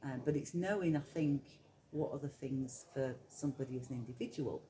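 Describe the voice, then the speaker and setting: A woman's voice: extremely low pitch, fairly quick, low-quality recording. A middle-aged woman from Yorkshire with a Yorkshire accent, a hypnotherapist talking casually as an interview guest, reflective and full of ums. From Yorkshire, England. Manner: chatty, reflective, many ums and soft laughs.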